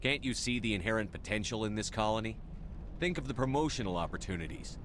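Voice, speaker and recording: A man acts out a military commander for a video game. The voice sits fairly low and stays composed and practical. A faint rumble runs behind the words. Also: lively intonation, quick pace, natural voice. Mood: measured, persuasive. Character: composed male military commander, steady and pragmatic.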